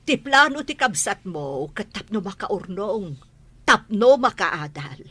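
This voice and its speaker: A woman's voice: mid-range voice, very animated, slow, fairly bright tone, slightly rough, no room sound, exaggerated voice. A woman playing an anxious but firm middle-aged rural mother in a radio drama, with an Ilocano accent. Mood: firm, chiding.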